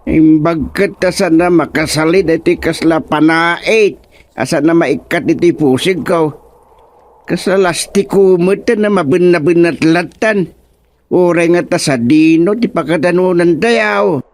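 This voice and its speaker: A man's voice: on the high side, unhurried, natural voice. A male actor playing a jittery, puzzled village sidekick in an Ilocano radio comedy drama, exclaiming and questioning theatrically with an Ilocano Filipino accent. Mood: bewildered.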